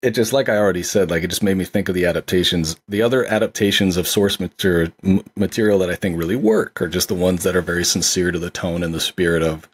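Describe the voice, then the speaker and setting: Lowish raspy guy chatting movies on a podcast, into it and agreeable. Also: fairly even intonation, fast, hardly any pauses. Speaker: podcast co-host discussing films. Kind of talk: casual film discussion chat.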